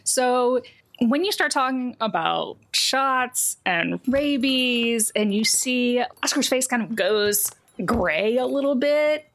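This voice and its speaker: A woman's voice: a little high, animated, slow, fairly bright tone. A woman running a tabletop game as game master, improvising narration in an informal, conversational way.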